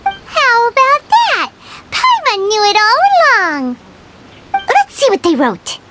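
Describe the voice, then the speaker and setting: A tiny, chirpy fairy companion from a video game. The voice is extremely high, squeaky and childlike. Its melody leaps about with great excitability. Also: female voice, slow, heavy background noise, full character voice. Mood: confident. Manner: chirpy, refers to self in third person.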